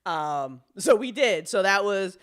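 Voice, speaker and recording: A woman's voice: mid-range voice, expressive, takes it slowly, clean tone. A woman chatting casually as a show's creator, rambling, swearing freely and repeating herself for emphasis. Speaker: show creator and editor. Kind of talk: casual, unscripted live chat.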